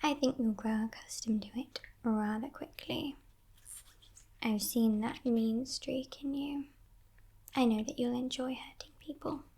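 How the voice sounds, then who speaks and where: Woman playing a breathy, whispering demoness. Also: a little high, fairly even intonation. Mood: coaxing, menacing. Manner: teasing, condescending, softly sinister, unhurried. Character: playful, menacing female demon who kidnaps humans. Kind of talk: whispered ASMR roleplay.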